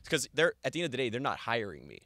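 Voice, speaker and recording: Fast-talking bright-voiced man. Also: mid-range voice, no room sound. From Los Angeles. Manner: fast, animated, laughs often. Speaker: music promoter and talent buyer. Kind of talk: casual podcast conversation.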